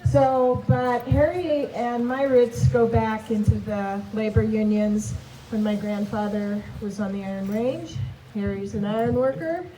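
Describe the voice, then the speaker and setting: A woman's voice: on the high side, steady tone, unhurried, dark tone, echoey room, far from the mic, slight background noise, rough recording. A woman, a former legislator, emceeing a rally and introducing the speakers in a friendly, chatty way.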